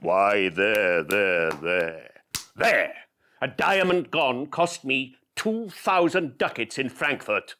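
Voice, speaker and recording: A man doing a raging, anguished old moneylender. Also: mid-range voice. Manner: loud, anguished, declaiming Elizabethan verse. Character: raging grief-stricken old male moneylender. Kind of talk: stage acting in a one-man show.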